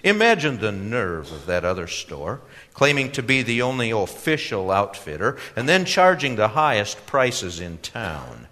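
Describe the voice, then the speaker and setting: A man voicing an oily, condescending clothing salesman with a lisping, drawn-out, affected delivery in a storybook tale. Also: fairly low pitch, very animated, pushed and bright, heavily acted. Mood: disdainful. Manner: drawn-out, affected, mock-helpful. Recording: reverberant hall.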